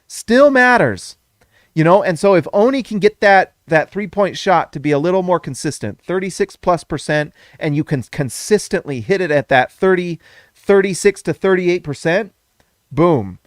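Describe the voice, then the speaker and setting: A man hosting a casual basketball reaction, excitable and emphatic, repeating lines and correcting himself, slightly hoarse. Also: high voice, normal speed, no echo, studio quality. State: losing his voice. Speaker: sports channel host.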